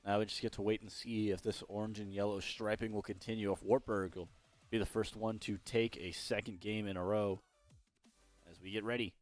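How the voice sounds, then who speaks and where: Young male esports caster, low hoarse voice, loose rambling play-by-play. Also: level tone, a little fast, faint music behind. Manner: rambling, self-correcting, chatty. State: losing his voice. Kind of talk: solo play-by-play commentary.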